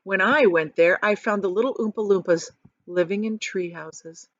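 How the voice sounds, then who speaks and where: A woman voicing an eccentric, proud and excitable male chocolate factory owner in a theatrical, emphatic storybook manner. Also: mid-range voice, normal speed, no echo, lo-fi sound, close to an everyday voice. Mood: dramatic, pitying. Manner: theatrical, emphatic, stretching key words.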